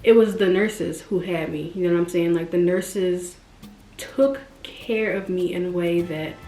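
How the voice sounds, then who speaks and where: A chatty, expressive woman in her mid-twenties talks straight to her viewers in a loose, casual way. Her voice leaps upward when she stresses a point. The sound is roomy and echoey, with soft music underneath. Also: measured pace. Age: 20s. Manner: chatty and expressive, jumps up in pitch for emphasis. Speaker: vlogger. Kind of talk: casual direct-to-camera chat. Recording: roomy echo with faint music.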